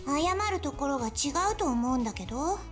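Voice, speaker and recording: A woman's voice: high voice, very fast. A woman voicing a pouty, easily flustered teenage girl in an anime-style visual novel. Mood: sulky, indignant. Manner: pouty, expressive, stammering when flustered. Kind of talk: Japanese visual novel voice acting. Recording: game music behind the voice.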